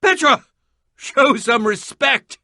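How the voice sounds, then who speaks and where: A man's voice: extremely high pitch, expressive, takes it slowly, gravelly, sharp tone, dry recording, studio quality, exaggerated voice. A man voicing a pompous, irritable, middle-aged eccentric adventurer and potion maker in a video game, with a dramatic, sardonic, fussy delivery. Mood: indignant, exclaiming. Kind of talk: animated video game voice acting.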